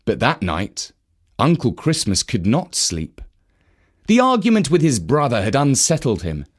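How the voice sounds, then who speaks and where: A man narrating a children's story from a script in an expressive, measured manner. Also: medium pitch, slightly rough.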